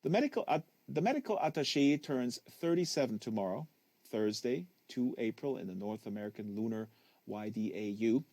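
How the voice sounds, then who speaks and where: A man reading a literary novel aloud as a narrator, leaning on phrases with deliberate emphasis. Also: medium pitch, lively intonation, a little mellow, no room sound. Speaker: audiobook reader. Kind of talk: live literary audiobook reading.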